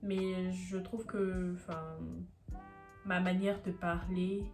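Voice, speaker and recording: A woman's voice: fairly low pitch, flat delivery, takes it slowly, a little mellow, faint music behind. Hesitant young Ivorian woman. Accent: Ivorian French. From Ivory Coast. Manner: hesitant, searching for words, many fillers. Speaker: YouTuber. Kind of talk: casual vlog talk to camera.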